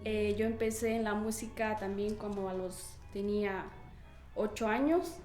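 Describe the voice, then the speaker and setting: A woman's voice: medium pitch, measured pace. A woman with a Mexican accent talking about her own past in an interview. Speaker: musician interviewee. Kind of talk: personal recollection in interview. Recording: echoey room, faint music underneath.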